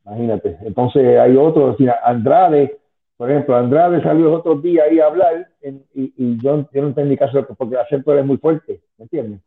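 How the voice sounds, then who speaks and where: A man's voice: medium pitch, a little fast, creaky. A man in his fifties talks through a phone line, sounding tinny and thin. He rambles as an interview guest, restarting sentences and dropping asides. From Spanish-speaking, moved to the US at ten. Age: 50s. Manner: rambling, many restarts and "tú sabes". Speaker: guest, former wrestling manager. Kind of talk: casual interview on a call.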